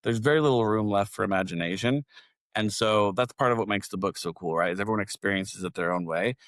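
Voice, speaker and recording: A man's voice: on the low side, quick pace, a bit bright, no echo, studio quality. A man co-hosting a podcast, chatting casually and informally. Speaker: podcast co-host.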